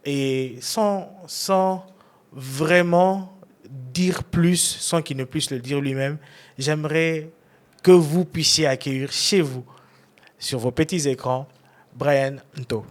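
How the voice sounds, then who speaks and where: A man hosting an online interview show, talking in an animated way and repeating words for emphasis. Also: a little high, takes it slowly. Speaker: interview host.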